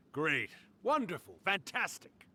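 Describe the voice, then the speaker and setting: A man's voice: on the high side, bright, forceful tone, slight background noise, exaggerated voice. A man voicing an ancient, playful and boastful fox spirit in a video game, theatrical and teasing. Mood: fond. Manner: teasing, theatrical, self-satisfied. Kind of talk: English video game voice acting.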